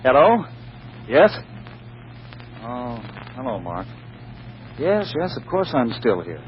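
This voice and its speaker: Excitable earnest young guy in forties radio play, swingy pitch, muffled old recording. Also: slow, slight background noise. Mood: suspicious, dutiful. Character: eager, gullible young heir to a firm. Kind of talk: 1940s radio acting.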